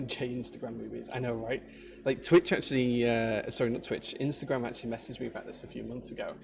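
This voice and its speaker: Gravelly-voiced Yorkshire man chatting. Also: mid-range voice, fairly even intonation, fairly quick, a crowd in the background. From Yorkshire, England. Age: adult, married. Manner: chatty, rambling, many fillers and restarts. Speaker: maker livestreamer. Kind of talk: casual livestream chat with viewers. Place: workshop.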